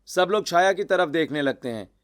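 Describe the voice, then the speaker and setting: A man reading scripted narration for an animated family drama story. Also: a little high, fairly quick. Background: music.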